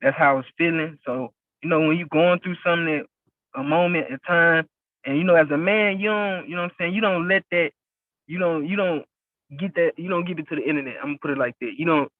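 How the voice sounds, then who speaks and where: A man's voice: a little high, fast talker, dry recording. A young man from West Memphis, a rapper and label owner, talking casually as an interview guest and leaning on "you know what I'm saying" over and over. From West Memphis, Arkansas. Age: young adult. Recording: tinny phone-like, very dry.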